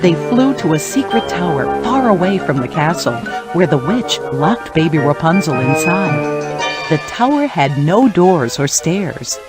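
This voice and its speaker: A woman playing a kindly fairy godmother, gently narrating a children's storybook game. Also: deep voice, big pitch swings, unhurried, over loud music. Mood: gentle storytelling. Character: kindly female fairy godmother telling fairy tales.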